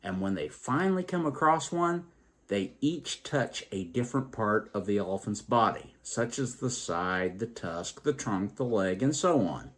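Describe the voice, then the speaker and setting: A man hosting a story program, telling tales and reflecting on their morals in a deliberate, emphatic way. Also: lowish voice, animated. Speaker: storytelling show host.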